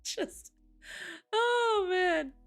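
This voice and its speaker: A woman hosting a live stream in casual chat, bubbly and quick to laugh, still waking up. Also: extremely high pitch, soft background music. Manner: giggly, bubbly, laughs often. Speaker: streamer host.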